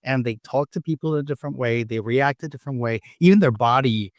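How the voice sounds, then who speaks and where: A man's voice: medium pitch, quick pace, no room sound. Mexican-accented man, close dry sound. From Mexico City, Mexico. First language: Spanish. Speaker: restaurant owner and chef, podcast guest. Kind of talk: conversational interview answers with anecdotes.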